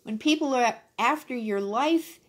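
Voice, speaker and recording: A woman puts on a miserable old storybook cat. The voice is raised and husky, with drawn-out, plaintive phrases full of self-pity. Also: a little high, voice pushed up. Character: aging, miserable female cat, feeling sorry for herself.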